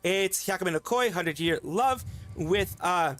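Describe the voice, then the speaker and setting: A man's voice: high-pitched, animated, fairly bright tone, faint music behind. A man streaming commentary, reading a document aloud and stopping often to comment, with fillers and restarts. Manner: fast, halting, with frequent fillers and restarts.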